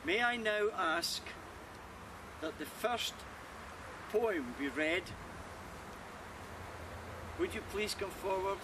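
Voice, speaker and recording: Solemn male speaker, unhurried, recorded from afar with outdoor noise. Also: sits high, expressive. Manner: slow, measured and solemn. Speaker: ceremony speaker. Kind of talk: formal memorial address. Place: outdoor memorial gathering. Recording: distant mic, loud outdoor noise. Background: outdoor ambience.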